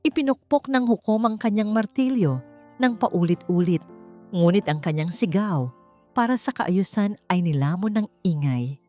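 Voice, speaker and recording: A woman's voice: medium pitch, lively intonation, moderate pace, mellow. A woman reading a dramatic scripted story as an audiobook narrator. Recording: music behind the voice.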